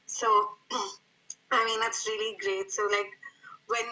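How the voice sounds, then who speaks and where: A woman's voice: medium pitch, flat delivery, slow, sharp tone, low-quality recording. A woman from India with an Indian accent, chatting enthusiastically in an interview and filling her speech with "like". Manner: enthusiastic, lots of "like". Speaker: guest, jiu-jitsu practitioner. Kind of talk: casual interview chat.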